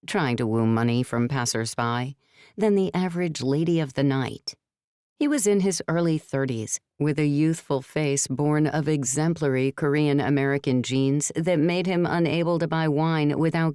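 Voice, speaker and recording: A woman reading scripted narration for a novel in a measured storytelling manner. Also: deep voice, a little mellow, clean tone, no echo, clean studio sound. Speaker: audiobook narrator.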